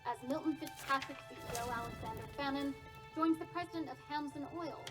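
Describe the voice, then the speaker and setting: A woman acting as a formal news reader in a video game. She is high-pitched and brisk, with clear music behind her. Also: natural voice. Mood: neutral.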